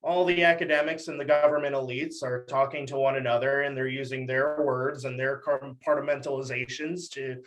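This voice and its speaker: A man's voice: medium pitch, little pitch movement, normal speed, hardly any pauses, fairly bright tone, rough recording. A young man from Texas giving articulate, conversational political commentary as an interview guest. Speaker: podcast guest, academic commentator.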